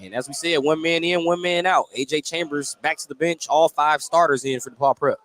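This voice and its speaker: A man doing live basketball play-by-play for radio, in clipped descriptive phrases that lift with excitement on big plays. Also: fairly high pitch, brisk. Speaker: play-by-play radio sports announcer. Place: indoor basketball gym, courtside. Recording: noisy live gym ambience behind commentary.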